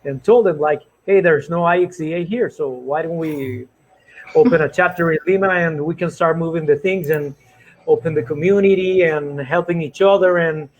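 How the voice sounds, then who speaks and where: Man, relaxed, on a video call. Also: a little high, normal speed, lo-fi sound. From Chile. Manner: relaxed, loosely phrased storytelling. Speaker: guest speaker. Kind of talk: casual online talk. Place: small room at home. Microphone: headset on a video call.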